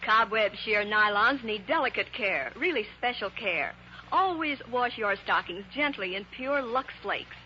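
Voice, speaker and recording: A woman's voice: on the high side, lively intonation, measured pace. A woman announcer reading a scripted vintage radio advertisement. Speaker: commercial announcer. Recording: muffled vintage radio, faint hiss.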